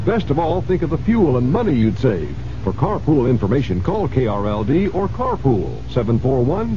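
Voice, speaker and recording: Psa announcer guy, lively delivery, muffled old radio with traffic noise. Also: mid-range voice. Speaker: public-service announcer. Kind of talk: 1970s scripted radio announcement.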